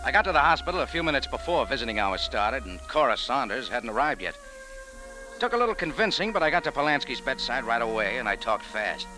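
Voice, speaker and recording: A man's voice: medium pitch, sing-song, fast talker, pushed and bright, poor audio. A man with an American accent playing a tough, sardonic café owner and sleuth in an old-time radio drama, clipped and hard-boiled. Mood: wry, brisk. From United States. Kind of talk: old-time radio detective narration and acting. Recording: old radio drama, faint music behind.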